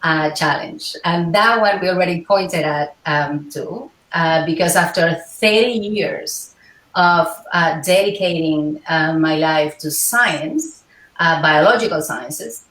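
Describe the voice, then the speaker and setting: A woman's voice: low voice, slow, a bit bright. Cuban-born woman in her forties, distant echo. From Cuba. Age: 40s. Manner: thoughtful, hesitant with many fillers. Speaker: guest, scientist and healer. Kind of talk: interview conversation. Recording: echoey room, far from mic.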